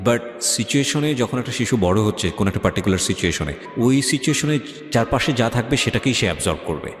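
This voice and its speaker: A man's voice: mid-range voice, lively intonation, quick pace. A man with a Bangladeshi accent hosting a conversational radio interview, mixing English phrases into his talk. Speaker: radio show host. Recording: echoey with long reverb, music behind.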